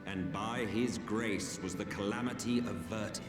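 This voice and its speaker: A low-voiced, weary ancient villain from a video game , played by a man, speaks with slow grandeur and wry, hushed pauses. He sounds set back in a long echoing hall, with loud music around him. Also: fairly even intonation, lightly acted. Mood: grave, theatrical. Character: weary, theatrical ancient villain recounting lost history. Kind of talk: video game cutscene dramatic monologue. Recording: echoey distant hall under loud music.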